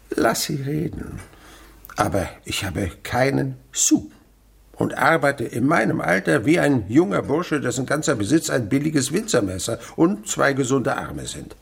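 A miserly old provincial uncle for radio drama. The voice is very husky and gravelly, swinging widely as he blusters, gives orders and makes wry remarks. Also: medium pitch, sing-song, a little slow, full character voice. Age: older. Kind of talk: German radio drama acting.

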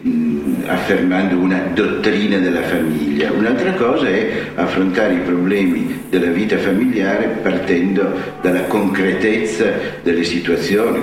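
Deep-voiced man in a very echoey space. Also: slight background noise. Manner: hesitant, deliberate, scholarly phrasing. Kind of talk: reflective explanatory talk on religion. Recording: very echoey, distant mic, music behind.

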